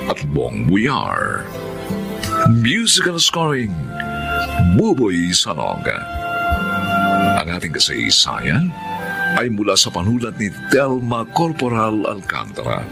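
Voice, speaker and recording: A man's voice: fairly low pitch, wildly swooping pitch, slow pace, gravelly, pauses often. A man with a Filipino accent announcing and narrating a radio drama in a solemn, theatrical scripted style. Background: dramatic guitar music.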